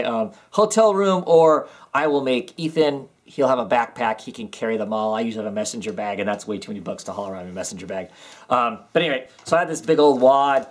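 A man in his thirties chatting casually in a home vlog, rambling with many ums and restarts. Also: mid-range voice. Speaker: comics vlogger. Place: small home room with filing cabinets, indoors.

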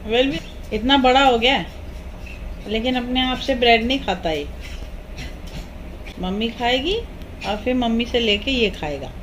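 A woman's voice: a little high, a little slow, pauses often, a bit bright, low-quality recording. A woman talking to her pet parrot at home in doting, sing-song baby talk. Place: living room at home, indoors. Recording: home phone video with background noise.

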